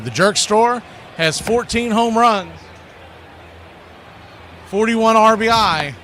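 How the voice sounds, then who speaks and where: A man's voice: sits high, pushed and bright, a little hiss or hum. A man announcing sports and sponsor spots in a showy, folksy radio play-by-play style. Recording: music bed under the voice. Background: music.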